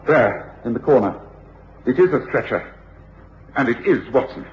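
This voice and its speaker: An English actor playing a commanding, coolly reasoning detective with clipped, authoritative delivery in an old British radio drama. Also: male voice, mid-range voice, expressive, normal speed, close to an everyday voice. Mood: urgent, commanding. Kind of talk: 1950s-60s British radio drama acting. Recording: echoey, dull, noisy old radio recording.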